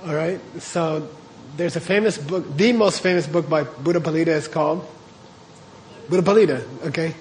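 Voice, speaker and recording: A man's voice: on the high side, moderate pace, darkish tone, a little hiss or hum, rough recording. A man teaching a class, explaining terms step by step and checking in with his listeners. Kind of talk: classroom lecture on Tibetan texts.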